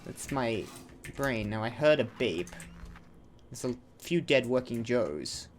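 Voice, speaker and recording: A man's voice: medium pitch, lively intonation, takes it slowly, a little hiss or hum. Chatty man rambling over gameplay. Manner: rambling, chatty, self-interrupting. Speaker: let's play host. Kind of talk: casual gameplay commentary.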